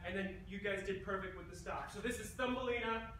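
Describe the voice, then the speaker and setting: A man's voice: high voice, brisk, sharp tone. A man hosting an improv comedy show, chatting casually and projecting to a live audience. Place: large theatre stage, indoors. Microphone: far camera. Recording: echoey hall from far off, noisy audience.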